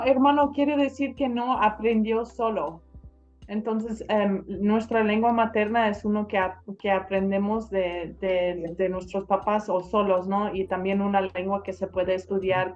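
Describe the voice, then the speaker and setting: A woman's voice: medium pitch, steady tone, unhurried, a little mellow, lo-fi sound, faint music behind. A woman from a translation team reading a draft aloud carefully, hesitating as she searches for words.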